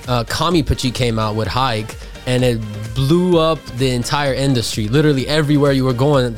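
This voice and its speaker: A man from Armenia, a guest recording artist, talking casually in a radio interview. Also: mid-range voice. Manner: relaxed, casual slang. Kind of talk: conversational interview.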